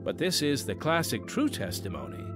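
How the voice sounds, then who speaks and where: A man's voice: mid-range voice, huge pitch swings, moderate pace, music in the background. A man hosting and narrating an audio drama podcast in a scripted, theatrical, emphatic style.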